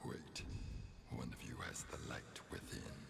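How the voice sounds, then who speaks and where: An actor voicing an ancient, solemn mystical oracle in a video game, speaking slowly and portentously in a breathy whisper. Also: male voice, lightly acted. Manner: slow, portentous. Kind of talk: video game cutscene acting. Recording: cavernous reverb, music underneath.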